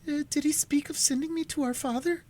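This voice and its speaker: A man voicing a fragile young girl who pleads in a trembling, much higher voice. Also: fairly even intonation, a little fast, darkish tone. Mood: pleading. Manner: pleading, tearful.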